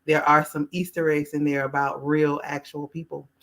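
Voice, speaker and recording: A woman's voice: low-pitched, level tone, normal speed. A woman hosting a podcast, moderating a group chat and laughing easily. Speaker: podcast host.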